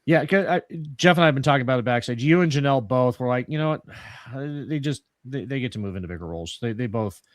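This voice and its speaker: A man chatting casually as host of a radio players group, speaking haltingly and repeating words. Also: mid-range voice, animated, no echo, studio quality. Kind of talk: casual chat.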